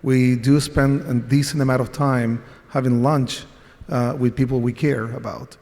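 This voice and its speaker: Chilean man on a conference panel, earnest and reflective, mid-pitched voice, echoing hall. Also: fairly even intonation, a little slow, gravelly, a little mellow. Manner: reflective, earnest, with frequent restarts. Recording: long reverb of a conference hall.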